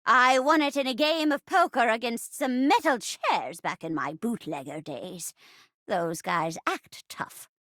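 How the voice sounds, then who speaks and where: Melodramatic old rocking-chair wife, high-voiced. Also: female voice, expressive, measured pace, fairly bright tone, dry recording, clean studio sound. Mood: defiant. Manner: theatrical, folksy, pleading. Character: melodramatic, plucky old rocking-chair wife hunting her missing husband. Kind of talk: hammy murder-mystery play-acting in a video game.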